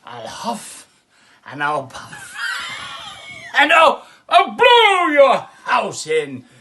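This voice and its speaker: A man's voice: extremely high pitch, huge pitch swings, very slow, bright, forceful tone, raised voice, pitched above the performer's own voice, exaggerated voice. A man voicing a hungry big bad wolf who blusters his threats. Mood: blustering.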